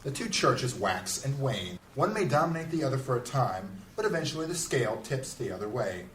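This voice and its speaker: Philosophical male mage actor, stern and theatrical, lively inflection, roomy recording with faint noise. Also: medium pitch, far from the mic. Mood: grave, measured. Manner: measured, formal, theatrical. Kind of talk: fantasy audio drama acting.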